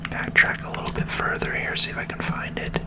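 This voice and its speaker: A person softly whispering ASMR video game commentary in a soothing, unhurried manner. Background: game music.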